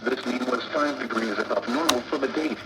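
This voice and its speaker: Male weather-bulletin reader, mid-pitched, scripted delivery, noisy small-speaker radio playback. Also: a little fast.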